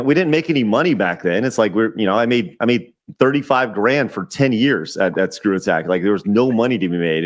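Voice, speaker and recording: A man in his forties, a podcast guest chatting casually over a call, often repeating words and restarting his sentences. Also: medium pitch. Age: 40s. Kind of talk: casual chat. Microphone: remote call audio.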